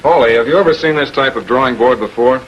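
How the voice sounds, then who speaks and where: An American actor playing a shrewd public prosecutor in 1940s television crime drama style, questioning in a deliberate, authoritative way. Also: male voice, medium pitch, highs cut off, close to an everyday voice. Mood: measured, in control. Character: shrewd, composed male public prosecutor confronting murder suspects. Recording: noisy 1940s film soundtrack.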